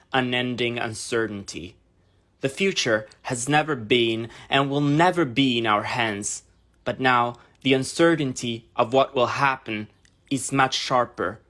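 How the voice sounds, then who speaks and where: Male reader for a literary memoir piece, mid-range pitch with a bright, tense edge. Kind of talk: scripted first-person narration, reading an essay aloud.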